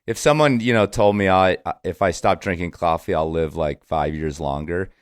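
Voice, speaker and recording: A man with a low, deep voice hosts a podcast in a casual, conversational style. The sound is dry, with no room around the voice. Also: normal speed, no room sound. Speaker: podcast host. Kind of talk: casual chat.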